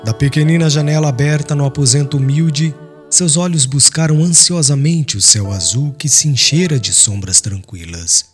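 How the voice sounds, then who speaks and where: A man's voice: a little high, moderate pace. A man with a Brazilian accent reads literary narration solemnly, as an audiobook narrator, over music. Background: soft music.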